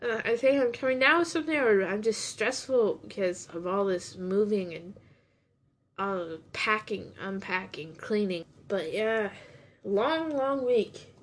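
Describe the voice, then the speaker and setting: A young woman hosts a laid-back podcast, her voice in an ordinary middle pitch. She has a runny nose and sniffles now and then. Her talk rambles, with lots of restarts and side turns. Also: measured pace. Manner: rambling, chatty, many restarts. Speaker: podcast host reviewing anime. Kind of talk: casual chat.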